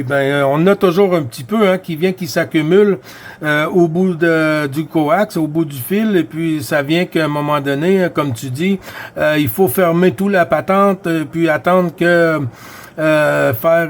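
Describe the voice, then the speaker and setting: Slow-talking Québécois man on radio. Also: on the high side. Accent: Québécois French. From Quebec. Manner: drawn-out, filler-heavy, uses radio codes. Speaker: CB radio operator. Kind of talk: casual CB radio chat.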